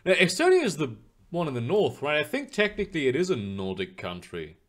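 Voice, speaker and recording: A man's voice: a little high, wildly swooping pitch, fairly quick, a bit bright. A young man streaming live, chatting loosely with his viewers in a rambling way, full of fillers and casual swearing. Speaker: streamer reading chat.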